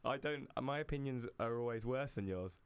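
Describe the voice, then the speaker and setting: A man's voice: mid-range voice, normal speed, smooth, no echo. A young British man co-hosting a casual podcast, chatty and easygoing, often laughing. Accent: British English. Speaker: podcast co-host. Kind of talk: casual chat.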